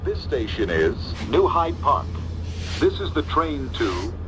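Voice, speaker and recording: Male announcer for recorded station calls, formal and clipped into phrases, varied intonation, played over clearly noisy train. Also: medium pitch, sing-song, slow pace. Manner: formal, segmented delivery. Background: train noise.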